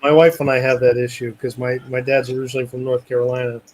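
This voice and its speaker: A man with a middle-pitched voice telling a story in a chatty, friendly manner during a group hangout. A clear, steady noise runs behind his voice. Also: quick pace. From Massachusetts. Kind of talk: casual group chat.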